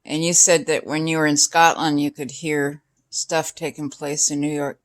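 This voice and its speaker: A woman's voice: deep voice, flat delivery, normal speed. An older woman in casual chat, asking curious questions and giving short reactions. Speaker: interviewer questioning the other speaker.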